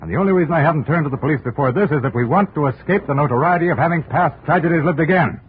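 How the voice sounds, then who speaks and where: An actor playing an indignant, pompous executive husband in 1950s radio style, speaking stiffly, formally and emphatically. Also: male voice, a little high, rapid-fire, natural voice. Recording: noisy, narrow-band vintage radio recording.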